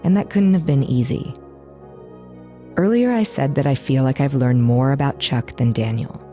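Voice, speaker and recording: An actress playing an earnest true-crime podcast host, narrating reflectively. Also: female voice, a bass voice, moderate pace, warm tone, soft background music. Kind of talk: scripted narration.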